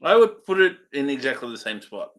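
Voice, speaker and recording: A man's voice: medium pitch, very animated, fairly quick, a bit bright. A man co-hosting a movie review show, giving his opinions in casual conversation.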